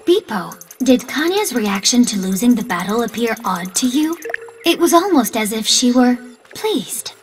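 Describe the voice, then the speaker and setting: High-pitched, precise AI assistant. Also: female voice, sing-song, measured pace, over music. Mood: curious. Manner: precise, formal, scientific diction. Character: polite female AI assistant, analytical and composed. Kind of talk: scripted video game dialogue. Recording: long reverb, faint background noise.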